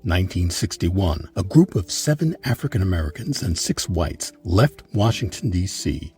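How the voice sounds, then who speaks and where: A man reading scripted documentary narration in a measured, articulate way with emphatic phrasing. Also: low-pitched, very animated, darkish tone, faint music behind. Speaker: narrator of a history program.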